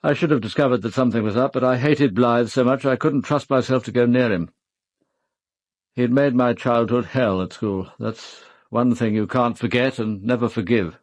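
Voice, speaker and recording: A middle-aged British gentleman and former officer, played by a radio actor, speaks with clipped restraint in a medium-pitched voice. He sounds guarded and burdened, in a close, dry recording. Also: moderate pace, no room sound, close to an everyday voice. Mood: grimly confessional. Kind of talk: radio drama acting.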